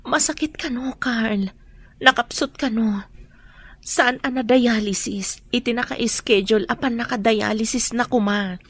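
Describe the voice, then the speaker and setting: A woman's voice: on the high side, measured pace, a bit bright, husky, heavily acted. A woman playing a troubled, hurt but dutiful adult eldest daughter in a radio drama.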